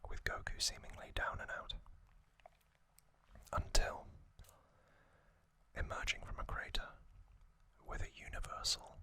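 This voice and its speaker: A man whispering as an ASMR host, telling a story softly in short, halting phrases. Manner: soft, unhurried, halting delivery in short phrases.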